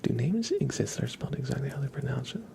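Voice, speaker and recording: A man whispering softly and breathily close to the mic as he hosts an ASMR livestream, chatting casually and gently repeating words. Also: medium pitch, big pitch swings, gravelly, noisy background. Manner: soft, repeats words and names slowly.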